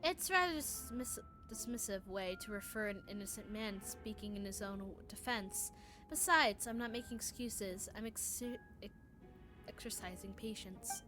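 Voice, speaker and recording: A woman streamer voicing a calm, patient male alchemist who defends himself formally, with some stumbles in the reading. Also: mid-range voice, over music, close to an everyday voice. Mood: patient, composed. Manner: measured, formal, stumbling over the script.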